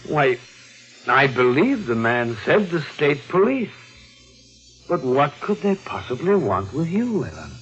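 An actor playing a genial, concerned, middle-aged family doctor in a 1940s radio mystery. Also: male voice, mid-range voice, big pitch swings, unhurried, over music. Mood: genial, reassuring. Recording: muffled vintage radio recording.

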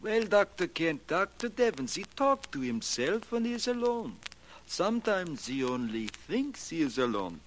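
A man's voice: sits high, sing-song, unhurried. An actor playing a foreign observatory handyman in a 1940s radio drama, speaking stilted broken English with a foreign accent. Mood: sly, threatening. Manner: stilted, broken English with simple present tense. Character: foreign observatory handyman who believes in astrology. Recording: noisy, clicky 1940s radio recording.

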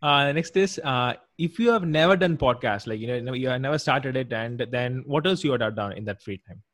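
A man's voice: medium pitch, expressive, a little fast, a bit bright, no echo, clean studio sound. A young man hosting a podcast casually, chatty, with many fillers and frequent affirmations. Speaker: podcast host. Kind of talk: casual interview.

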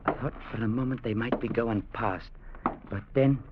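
An actor playing a proud, quietly menacing seafaring man in a 1940s radio drama, both narrating and acting. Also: mid-range voice, fairly even intonation, moderate pace, very noisy, close to an everyday voice. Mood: grave, brooding. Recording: muffled old radio recording with music.

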